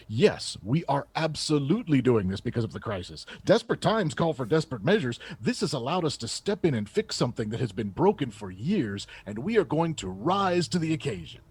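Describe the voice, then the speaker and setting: A man acting as an assertive chief of staff in an audio drama, with a slightly rough, husky voice. His delivery swings expressively in pitch as he presses each point like a polished politician, in a dry, close recording. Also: darkish tone, no echo. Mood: emphatic. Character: confident, combative male presidential chief of staff. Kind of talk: radio theater acting, political interview answers.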